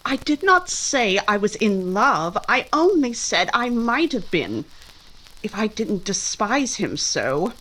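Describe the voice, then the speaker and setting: A woman playing a proud, sensible young Regency daughter in a 1940s-style radio drama, measured and earnest. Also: mid-range voice, big pitch swings, fairly bright tone, heavily acted, rough recording. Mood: proud, guarded. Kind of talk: recreated 1940s radio play acting.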